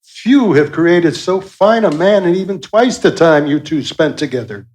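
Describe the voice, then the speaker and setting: An actor in a radio play playing a wry, affectionate God, folksy and teasing as he gently chides. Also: a little high, animated, measured pace. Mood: earnest, gentle.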